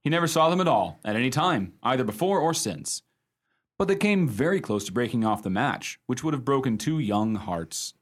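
An actor playing a genial husband spinning a ghost yarn in a conversational, expressive way for a radio play. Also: male voice, medium pitch, normal speed. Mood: confiding. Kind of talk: radio drama storytelling.

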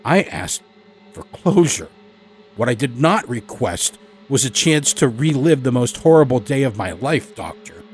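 A man's voice: medium pitch, very animated, a little slow, faint music behind. A man playing an aggrieved middle-aged lawyer in an audio horror story, emotional, accusing and emphatic. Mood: wounded, pleading. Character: aggrieved middle-aged male lawyer, bitter, wounded, combative.

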